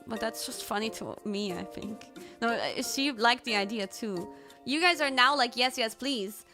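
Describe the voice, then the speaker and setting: A woman streamer telling stories in casual chat, animated, jumping to squealed quotes. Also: fairly high pitch, slow, pauses often, over music. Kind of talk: casual chat, recapping a trip.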